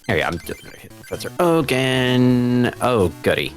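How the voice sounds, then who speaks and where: A man's voice: mid-range voice, very animated, moderate pace, soft background music. A male speedrunner chatting casually and thinking aloud while he plays. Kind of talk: casual play-by-play while gaming.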